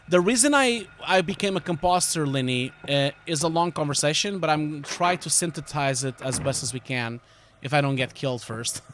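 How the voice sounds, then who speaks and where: Portuguese-accented man streaming, higher-pitched voice, noisy background. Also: a bit bright. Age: 40s. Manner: rambling, easily distracted, informal with swearing. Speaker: livestream host, visual effects artist. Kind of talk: casual livestream chat while playing a game, answering viewer questions.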